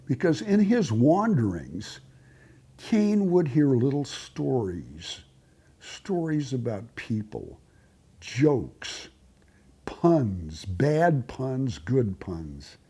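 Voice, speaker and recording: A man telling stories for a documentary in a deliberate way, repeating phrases for emphasis. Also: medium pitch, sing-song, warm tone, breathy edge. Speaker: documentary storyteller. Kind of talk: documentary storytelling.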